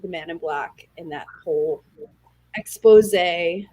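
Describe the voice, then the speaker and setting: A woman's voice: on the low side, a little slow, warm tone, poor audio. A woman co-hosting a book readalong, putting questions to the group in casual conversation. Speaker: readalong co-host. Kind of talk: casual discussion, posing questions. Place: online group call.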